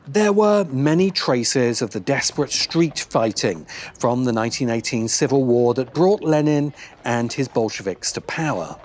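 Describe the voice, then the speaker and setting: A man delivering scripted documentary narration as a video essay host, in a deliberate, dramatic storytelling manner with pauses for emphasis. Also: mid-range voice, a little mellow. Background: background music.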